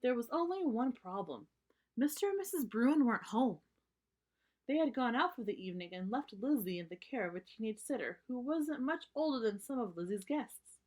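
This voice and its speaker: A woman reading a story aloud for children, narrating and pausing to explain things simply. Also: on the high side, very animated, darkish tone.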